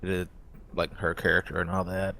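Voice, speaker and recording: Deep-voiced man chatting as a game player. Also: little pitch movement, moderate pace, gravelly, bright, forceful tone. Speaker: role-play game player. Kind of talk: casual game chat.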